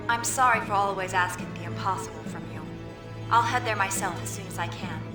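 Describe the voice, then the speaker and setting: Game role: composed female committee official acted by a woman, mid-pitched, formal and grave, roomy echo, loud score. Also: a little fast, natural voice. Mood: serious, measured. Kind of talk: video game cutscene voice acting. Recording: roomy echo under loud background music.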